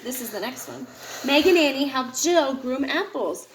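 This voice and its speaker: A woman with a fairly high voice reads a children's picture book aloud. Her delivery is expressive, as if for a young listener. The room echoes around her and there is some background noise. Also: moderate pace. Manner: expressive, puts feeling into the dialogue. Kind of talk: read-aloud storytelling to a child.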